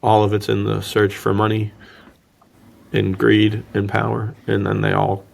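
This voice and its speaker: Male podcast co-host, low pitch, heavy vocal fry, casual banter, joking delivery. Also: completely flat delivery, measured pace. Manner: joking, laughs easily. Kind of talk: casual film discussion banter.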